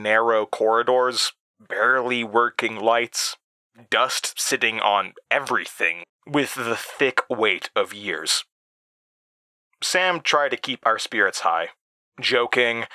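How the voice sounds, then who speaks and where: A man narrating a first-person horror story in a deliberate, halting manner with dramatic pauses. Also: medium pitch, pushed and bright, dry recording.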